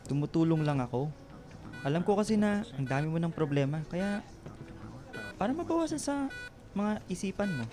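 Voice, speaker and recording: A man's voice: on the high side, big pitch swings, normal speed, pauses often, music in the background. A man playing a devoted, patient young boyfriend in a radio romance drama, with a soothing, coaxing way of talking. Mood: worried, placating.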